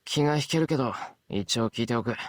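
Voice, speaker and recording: A man's voice: mid-range voice, expressive, very fast, no room sound, natural voice. An actor playing an earnest, kind teenage boy in a Japanese visual novel, frank and understated. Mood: frank, resigned.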